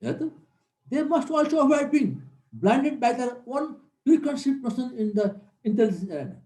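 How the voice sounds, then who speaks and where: A man's voice: very high-pitched, big pitch swings, normal speed, a little mellow. A middle-aged man, giving an earnest classroom lecture as a military instructor and repeating key points for emphasis. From Nepal. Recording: roomy echo.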